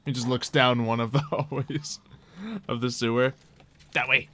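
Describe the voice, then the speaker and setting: Bright-voiced male game master. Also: mid-range voice, flat delivery. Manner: halting, conversational. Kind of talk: improvised tabletop game narration.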